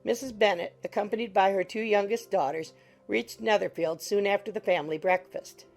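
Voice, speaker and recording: A woman's voice: medium pitch, vocal fry. A woman narrating a classic novel as an audiobook in a scripted literary reading style. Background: soft music.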